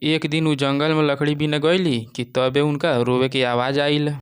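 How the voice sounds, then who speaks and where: Clear-voiced Bhojpuri male storyteller. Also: a little high, little pitch movement, moderate pace. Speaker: narrator. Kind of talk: scripted moral-story narration.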